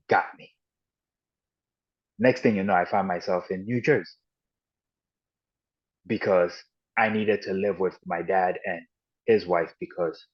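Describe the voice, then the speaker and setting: Deep-voiced man telling his story haltingly. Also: slightly rough. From Ghana and the United States. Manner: halting and reflective, with frequent restarts and "you know". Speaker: podcast guest. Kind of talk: personal storytelling in an interview. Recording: dull lo-fi audio.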